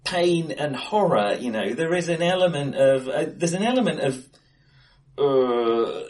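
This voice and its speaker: A man's voice: fairly high pitch, lively intonation, a little slow. A man discussing films casually as a podcast co-host, often hesitating and correcting himself as he thinks aloud. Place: indoors, face to face in a reverberant room. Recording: echoey, acoustically bright room.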